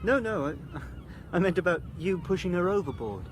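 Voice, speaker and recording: An actor in a radio drama playing a polite, awkward and sceptical lad of nineteen, speaking hesitantly. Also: male voice, high-pitched, sing-song, normal speed, noisy background. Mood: puzzled. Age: young adult.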